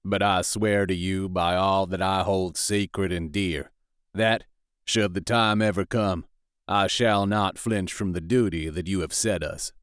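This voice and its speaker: A man voicing a rough, humble man in an audiobook who swears a solemn oath in a low, strained voice. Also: steady tone, unhurried, no echo, clean studio sound. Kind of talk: audiobook character reading.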